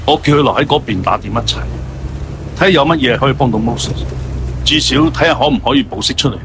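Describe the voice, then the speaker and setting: A man's voice: mid-range voice, lively intonation, quick pace, background noise, natural voice. An actor playing a worldly, doting middle-aged businessman father in a radio drama. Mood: concerned, matter-of-fact. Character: worldly, open-minded businessman father, doting on his daughter. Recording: studio radio drama with music bed.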